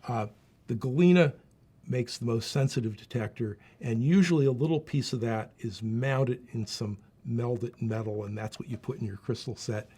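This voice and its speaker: A man's voice: mid-range voice, normal speed, dark tone, husky. A man giving a plain, unscripted, instructive explanation as he demonstrates equipment. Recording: faint background noise.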